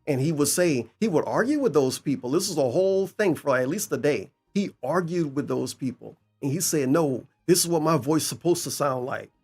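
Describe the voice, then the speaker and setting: A man's voice: on the high side, animated, brisk, hardly any pauses, darkish tone, husky, soft background music. A man giving casual, mocking reaction commentary as a streamer, incredulous, sarcastic and profane.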